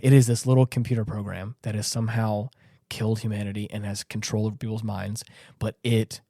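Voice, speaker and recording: A man's voice: on the low side, little pitch movement, fairly quick, vocal fry, dark tone, no room sound. Male podcast host. Conversational and earnest, leans hard on repeated phrases, dry recording. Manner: emphatic, earnest, repeats himself for stress. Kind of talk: casual discussion of a story.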